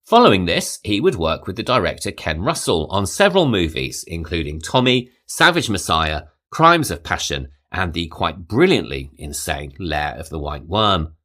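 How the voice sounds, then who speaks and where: A man hosting a horror film podcast, reading a measured, articulate scripted review with light opinion. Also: low-pitched, sing-song, bright, forceful tone. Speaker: podcast host.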